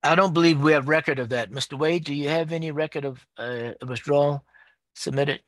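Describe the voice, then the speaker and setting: A man chairing a public appeals board hearing over a video call, speaking in a measured, procedural way. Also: fairly high pitch, no room sound.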